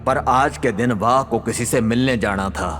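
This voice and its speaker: A man's voice: mid-range voice, normal speed, a bit bright, big-room sound, soft background music. A man with a Haryanvi accent narrating an audio drama in a dramatic scripted storytelling style.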